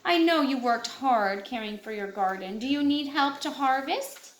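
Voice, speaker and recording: A woman voicing a gentle, helpful teenage girl in a picture book, with a soft, kindly manner. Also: high-pitched, expressive, normal speed, slight background noise. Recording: echoey room.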